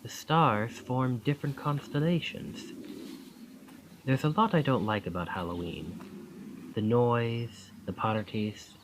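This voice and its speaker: Charming young student with an otherworldly possessive streak, played by a male actor; mid-pitched, slow and coaxing, intimate ASMR roleplay delivery. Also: a little hiss or hum, natural voice, poor audio. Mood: insistent, eerie.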